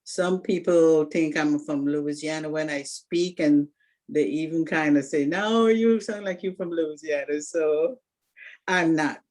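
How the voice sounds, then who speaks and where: A woman's voice: sits low, measured pace, a little mellow. A woman in her sixties, originally from Guyana, presenting herself as a candidate for an alumni association presidency in an earnest, organized prepared speech with occasional restarts. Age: 60s.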